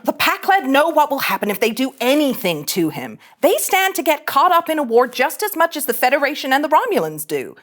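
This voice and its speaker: A woman plays a brash starship officer in a script read. She is high-pitched and animated, with blunt delivery and a sarcastic bite. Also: brisk, few pauses. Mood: sardonic. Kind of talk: character table read of sci-fi script.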